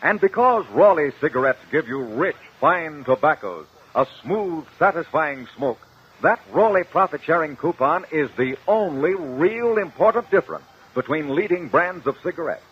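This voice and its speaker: A man pitches a product as a 1950s radio announcer. His raspy, fairly high voice rises and falls freely in emphatic, persuasive phrases, on a dull vintage recording. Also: expressive, normal speed. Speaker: cigarette advertisement announcer.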